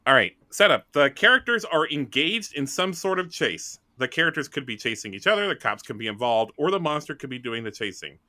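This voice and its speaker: Male podcast player, casual and jokey, bright forceful tone, very animated intonation. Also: mid-range voice, brisk, hardly any pauses, no room sound. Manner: jokey, playful. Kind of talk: casual tabletop game banter.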